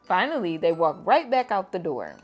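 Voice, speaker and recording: A teacher reading a children's picture book aloud at bedtime, gentle and expressive. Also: female voice, on the low side, moderate pace, faint music behind.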